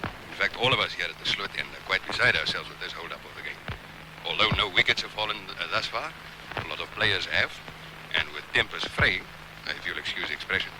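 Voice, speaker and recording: A man's voice: sits low, lightly acted. A man playing a ponderous, prim, hesitant South African cricket reporter in a 1970s radio comedy. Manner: halting, pompous. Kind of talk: mock outside-broadcast report in radio comedy. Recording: noisy 1970s radio recording.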